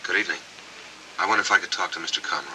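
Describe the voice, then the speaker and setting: A man's voice: deep voice, racing, pushed and bright. An actor playing a polite but uneasy man searching for answers in a 1940s film noir, with clipped, hesitant questions. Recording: noisy, humming old 1940s film soundtrack.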